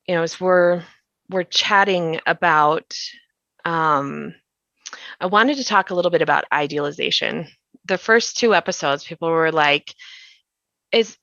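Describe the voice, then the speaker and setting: Dull-sounding, low-voiced woman chatting. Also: fairly bright tone, no room sound. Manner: discursive, peppers speech with "you know". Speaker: podcast host and interviewer. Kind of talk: casual conversational interviewing. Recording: dull, lo-fi dry audio.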